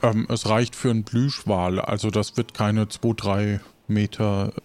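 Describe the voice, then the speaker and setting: A man's voice: lowish voice, level tone, unhurried, darkish tone. A man improvising tabletop game narration as game master, searching for his words as he describes scenes.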